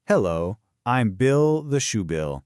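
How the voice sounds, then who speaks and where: A calm, unhurried shoebill bird from a storybook tale, speaking slowly in a deep voice, as a male narrator puts him on. The words are measured and patient, and the recording is dry and close. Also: dry recording. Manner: slow and calm.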